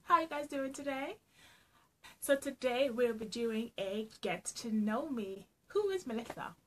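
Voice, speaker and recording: A woman's voice: fairly high pitch, expressive, a little slow, slight background noise. Chatty Trinidadian woman vlogging at home. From Trinidad and Tobago. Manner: chatty, playful, laughs often. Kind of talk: casual get-to-know-me vlog. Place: small room at home, indoors.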